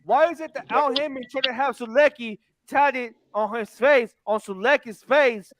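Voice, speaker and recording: High-voiced man, badgering and profane. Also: normal speed, noisy background. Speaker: caller on a live stream. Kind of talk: heated stream debate.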